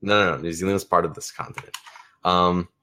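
A man's voice: deep voice, steady tone, brisk, sharp tone. A teenage boy whose first language is Romanian, rambling animatedly as a caller on a call-in show and often restarting his sentences. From United States and Romania. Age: teens. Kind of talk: casual chat on a call-in show.

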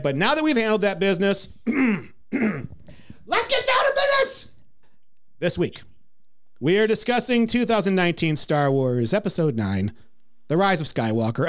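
A man hosting a movie review podcast, teasing his co-host and rattling off lists. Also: high-pitched.

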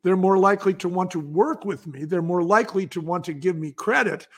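Man, fairly high voice, podcast guest, casual storytelling, enthusiastic pitchman manner. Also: brisk. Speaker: podcast guest, business founder. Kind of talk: casual interview talk, storytelling.

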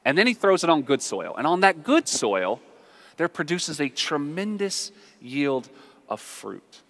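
Animated American preacher man in a reverberant hall. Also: on the high side, unhurried. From southern United States. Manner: animated, emphatic, repeats phrases for effect. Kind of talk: conversational, humorous storytelling sermon. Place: large reverberant room, live before a congregation.

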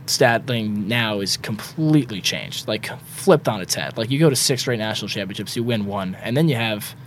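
A young man with a slightly raspy voice, talking in a quick, rambling way as a podcast guest. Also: fairly low pitch, lively intonation, a little hiss or hum. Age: young adult. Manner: quick, rambling, stacks filler words. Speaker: guest, student sports broadcaster. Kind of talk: casual podcast chat.

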